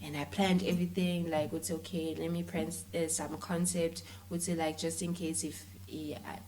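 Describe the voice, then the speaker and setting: Woman, South African accent, slightly low pitch, animated, informal, conversational interview guest, code-switching. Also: normal speed, a little hiss or hum. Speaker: interview guest, filmmaker and content creator.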